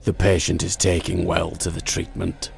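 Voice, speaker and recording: A man's voice: sits low, monotone, normal speed, creaky, a little mellow, faint music behind. A man playing a cold, detached hospital researcher in a video game, giving formal clinical notes.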